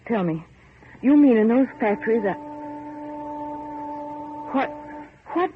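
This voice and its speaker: An actress playing a distraught, disbelieving young heiress in a vintage radio horror drama, with halting, theatrical delivery. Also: female voice, on the high side, lively intonation. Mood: shocked, disbelieving. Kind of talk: 1930s-40s radio horror drama acting. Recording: muffled, noisy old radio recording.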